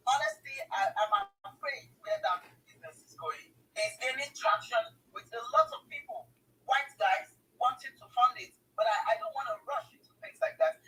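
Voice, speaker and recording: A Nigerian-accented woman gives casual advice to listeners in an emphatic, direct way, often repeating herself. Her pitch leaps and swoops widely as she speaks. Her voice comes over a video call from some distance away from the mic. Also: vocal fry, pushed and bright. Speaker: guest sharing her migration story. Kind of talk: casual advice to international students.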